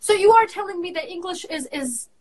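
A young woman talking in a lively, effusive way on a live video call from a small room. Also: very high voice, crowd noise. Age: young adult. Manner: lively, effusive, quick with exclamations. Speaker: guest. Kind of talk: casual live video chat.

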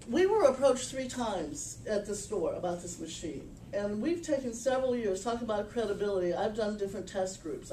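Animated middle-aged woman pitching in a room. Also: a little hiss or hum. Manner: animated, emphatic, restarts often. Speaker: presenter at a business meetup. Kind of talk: informal sales pitch. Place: small meeting room, indoors. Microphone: far camera. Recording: echoey room from far off.

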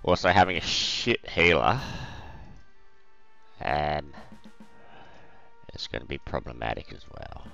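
Brief: male let's-play host, croaky today, deep but lively delivery, rambly and hesitant. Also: slow pace, long pauses, dry recording, lo-fi sound, faint music behind. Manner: rambling, thinking aloud with many ums. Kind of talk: casual game commentary.

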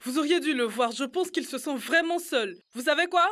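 A woman voicing an earnest, kind-hearted young boy in a children's cartoon, speaking deliberately. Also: high voice, fairly bright tone. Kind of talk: French-dubbed children's cartoon dialogue.

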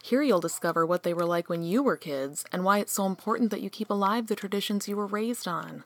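A woman's voice: mid-range voice, fairly quick, heavy background noise, natural voice. A woman playing a brash, bossy spirit guide in a comic radio play, commanding and sarcastic.

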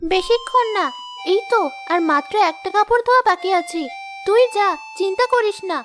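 Very high-pitched, earnest little cartoon girl. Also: normal speed, a bit bright, soft background music. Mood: reassuring. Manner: pleading, earnest, self-sacrificing. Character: dutiful, long-suffering young daughter. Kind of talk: cartoon dub acting.

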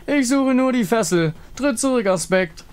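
A man voicing a grandiose, lovesick undead villain king in a slow, theatrical, menacing manner. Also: very high-pitched, expressive, clear, resonant tone, pitched above the performer's own voice. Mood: haughty, menacing. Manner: slow, drawn-out, theatrical, pompous.

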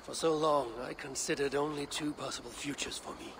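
An actor playing a grave, weary middle-aged Viking leader in a game, speaking in a solemn, measured, gravelly way. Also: male voice, a little high, steady tone, natural voice. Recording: game cutscene with noisy waterside ambience.

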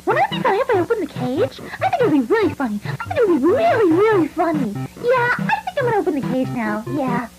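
A performer voicing a hyperactive, childish, silly cartoon bunny with excitable, repetitive, drawn-out exclamations. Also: very high voice, loud music behind the voice, full character voice, rough recording. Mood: mischievous.

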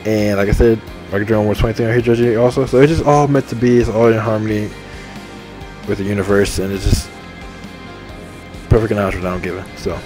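A young man in his twenties rambles cheerfully, repeating himself as he hands out shout-outs. His voice sits on the low side, and soft game menu music plays underneath. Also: steady tone, fast. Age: 20s. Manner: repetitive, upbeat, enthusiastic shout-outs. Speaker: gaming channel host. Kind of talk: rambling casual monologue over game menu. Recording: faint game music behind. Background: faint game menu music.

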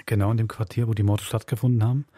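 A man's voice: lowish voice, fairly even intonation, rapid-fire, mellow, slightly rough, dry recording. A man hosting a podcast, explaining things in a casual, conversational way. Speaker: podcast host. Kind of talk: casual explanatory chat about a radio play.

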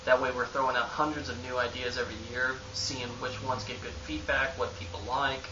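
A man's voice: medium pitch, little pitch movement, a little fast. A young man from a lure company speaks casually to the camera in an office, in an easygoing manner. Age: young adult. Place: office with bookshelf, indoors. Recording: roomy, distant, dull, hissy.

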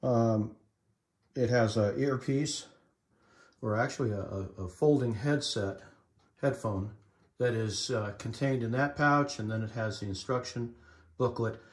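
Middle-aged male collector for an informal demo video, halting and matter-of-fact delivery, recorded indoors. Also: medium pitch. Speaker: collector demonstrating vintage equipment. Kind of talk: informal demonstration narration. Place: indoors in a room at home.

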